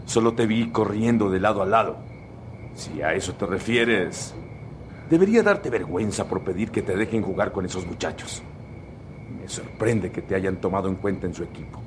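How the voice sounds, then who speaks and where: A man's voice: low-pitched, moderate pace, a little hiss or hum, natural voice. An actor playing a harsh, mocking father who belittles his son. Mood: scornful. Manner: mocking and contemptuous. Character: harsh, scornful middle-aged father.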